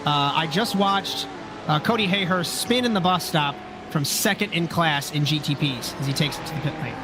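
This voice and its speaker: A man with a raspy voice from a sinus infection, commentating a sim race in a relaxed, chatty way that often corrects itself. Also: fairly high pitch, moderate pace, music in the background. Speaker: lead commentator. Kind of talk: sim racing play-by-play commentary.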